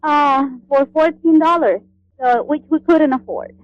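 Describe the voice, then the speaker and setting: Woman, high voice, lo-fi line. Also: no room sound. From Honduras. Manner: friendly, halting with many fillers. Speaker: guest, cookbook author. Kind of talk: radio interview.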